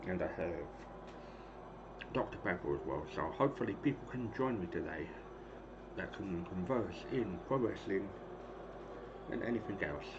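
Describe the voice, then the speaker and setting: A hoarse man in his thirties casually calling the action of a wrestling game he is streaming, rambling and clearing his throat often. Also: low-pitched, animated, normal speed, long pauses. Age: 30s. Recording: dull lo-fi stream audio with clear noise.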